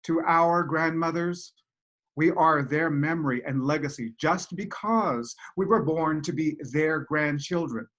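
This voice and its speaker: A man's voice: fairly high pitch, unhurried, fairly bright tone, muffled recording. A man giving a solemn dramatic reading of a written memorial tribute, as an actor.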